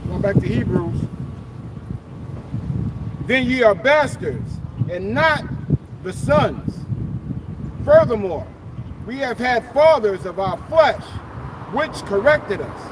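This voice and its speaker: A man with a very high voice reads scripture slowly, stressing each phrase. Wind noise blows across the outdoor recording. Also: animated. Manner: slow, emphatic reading. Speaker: scripture reader.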